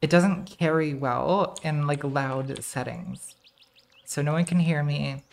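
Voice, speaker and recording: A woman in her thirties with a very soft, quiet voice, chatting casually to her live-stream chat while playing a game, self-deprecating and laughing often. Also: deep voice, takes it slowly, creaky. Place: home streaming room, indoors.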